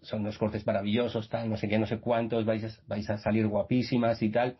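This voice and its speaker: Man, husky, rambling, casual, muffled video call. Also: lowish voice, level tone, a little fast. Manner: rambling with many restarts and fillers. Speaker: guest. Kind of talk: casual storytelling in a radio interview.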